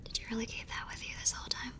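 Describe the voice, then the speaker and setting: A woman playing a tender, teasing young war nurse and sweetheart in an intimate ASMR romance roleplay, murmuring softly and breathily. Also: racing, natural voice. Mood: teasing, fond. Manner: soft, breathy, affectionate murmuring.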